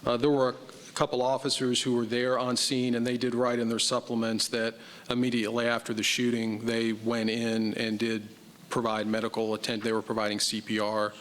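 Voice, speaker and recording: A man, a police captain, answering a review board's questions in a measured, explanatory way at a public meeting. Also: medium pitch, completely flat delivery, fairly bright tone, long reverb tail. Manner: measured, explanatory, admits uncertainty. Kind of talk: formal public meeting testimony. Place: city council chamber.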